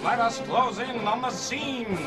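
A man's voice: very high voice, a little slow. A man playing a grand, melodramatic announcer of an old-time science fiction radio serial, with music behind him. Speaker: narrator. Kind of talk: parody 1940s radio announcing.

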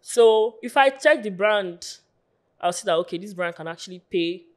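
A woman in her twenties from Lagos with a Nigerian accent, a guest influencer chatting casually in a small studio on a boom mic, full of "like" fillers. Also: animated, normal speed. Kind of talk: casual interview chat. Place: small pink-walled studio. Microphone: studio mic on boom arm.

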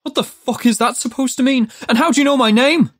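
A storyteller voicing a foul-mouthed, angry man who keeps shouting in exasperation. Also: medium pitch, normal speed, no room sound, studio quality, close to an everyday voice.

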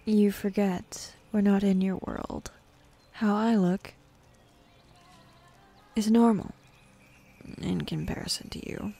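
A woman's voice: mid-range voice, fairly even intonation, slow pace, vocal fry, long pauses, dark tone. A woman voicing a bossy, teasing demon in an intimate ASMR roleplay, sardonic and drawling, easily bored, slipping into whispers. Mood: bossy, impatient. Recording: faint ambient forest background noise.

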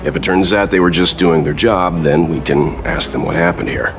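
Deep-voiced, measured mentor. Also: male voice, music in the background. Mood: calm, decisive. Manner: measured, deliberate, formal. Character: calm, composed older male expedition leader, a mentor. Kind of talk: video game cutscene dialogue.

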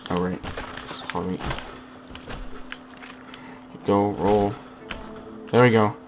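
A young man casually chatting over his gameplay through a headset, slightly hoarse, rambling with fillers and restarts. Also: fairly low pitch, steady tone, very slow, faint music behind. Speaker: gaming channel host.

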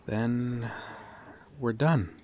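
A man playing an earnest, guarded police detective in an ASMR audio drama, speaking haltingly with long pauses. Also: medium pitch, darkish tone, a little hiss or hum. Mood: grave, methodical. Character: earnest, guarded male police detective questioning someone he cares for. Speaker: voice actor in an audio roleplay. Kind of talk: ASMR roleplay drama, acted one-sided dialogue.

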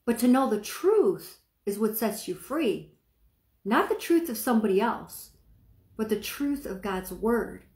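Woman, middle-aged, soft speaking voice, slightly high, casual preacher, asks listeners questions, echoey room. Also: big pitch swings, measured pace. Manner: chatty, asks the viewer questions, stretches words for emphasis. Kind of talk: informal sermon to camera. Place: small room indoors, seated.

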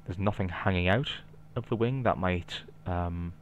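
Geordie male, deep, easygoing explainer thinking aloud, slightly muffled home-recorded sound. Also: measured pace, slight background noise. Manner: relaxed, explanatory, thinking aloud with light asides. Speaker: flight simulator video maker. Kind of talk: casual narrated gameplay walkthrough.

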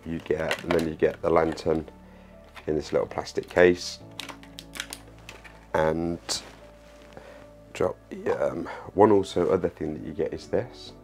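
Very deep-voiced man, hesitant casual demo. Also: a little mellow. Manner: hesitant, many ums and uhs, repeats himself. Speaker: outdoor gear reviewer. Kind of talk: casual product demo to camera. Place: small home living room by a window.

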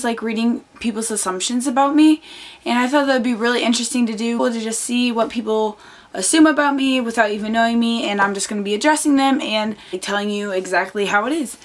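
Teen girl vlogger, hoarse tired voice, earnest chatty delivery, bedroom webcam feel. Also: on the high side, fairly quick. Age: teens. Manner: chatty, earnest, many fillers. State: losing her voice. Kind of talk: casual chat to camera. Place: bedroom, indoors. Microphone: camera on desk, close framing.

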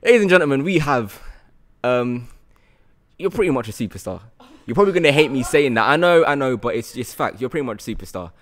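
A man's voice: medium pitch, animated, fast talker, a bit bright. A British man in his twenties hosting a friendly, casual interview, effusive and repeating words for emphasis. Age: 20s. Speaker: podcast host and interviewer. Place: bright loft-style room indoors, on a sofa. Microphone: handheld microphone.

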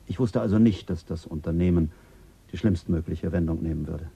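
Radio drama: reflective psychologist, deep, husky, earnest. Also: male voice, brisk, warm tone, exaggerated voice. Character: calm male psychologist, reflective and principled. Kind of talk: 1970s German radio drama.

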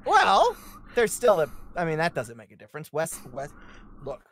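A playful, animated speaker chatting casually during a table read on a video call. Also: mid-range voice, moderate pace, slight background noise.

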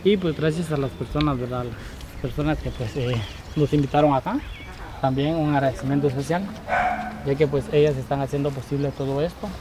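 A man's voice: mid-range voice, steady tone, a little fast. Teasing Guatemalan man filming outdoors. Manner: chatty, teasing, laughs often. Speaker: vlogger interviewing guests. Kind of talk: casual chat. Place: outdoor lakeside terrace. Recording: outdoor noise behind.

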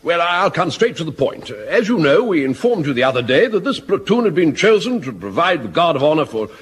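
An actor playing a genial, blustering army brigadier in a radio sitcom, hearty and hesitant with little ums. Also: male voice, fairly high pitch, sing-song, a little fast, breathy edge. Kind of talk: 1970s radio sitcom acting. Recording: old radio recording with faint hiss.

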